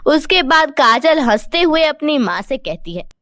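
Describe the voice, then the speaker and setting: High, animated woman storyteller. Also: a little slow, no echo, clean studio sound. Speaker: narrator. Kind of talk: scripted cartoon story narration.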